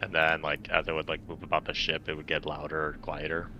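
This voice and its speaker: A man's voice: extremely low pitch, completely flat delivery, a little fast, pushed and bright, highs cut off. A man taking part in a tabletop roleplaying game, talking casually and reading aloud to the group. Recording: noisy home microphone recording.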